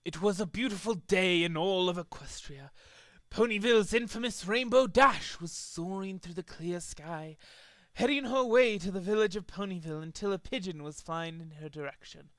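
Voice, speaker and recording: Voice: medium pitch, moderate pace, no echo. An amateur reader narrating a fan fiction story as a dramatic reading, with occasional stumbles over the text.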